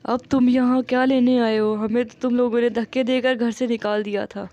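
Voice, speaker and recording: A woman plays a cartoon housewife in a family moral tale. Her voice is high, and the sound is slightly dull. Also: little pitch movement, normal speed, darkish tone, natural voice. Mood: indignant.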